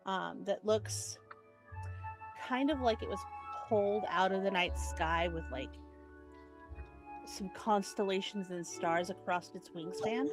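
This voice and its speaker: A woman playing a tabletop game, describing her character's familiar in a chatty way with lots of ums and likes. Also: mid-range voice. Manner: chatty, many ums and likes, laughs often. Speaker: player.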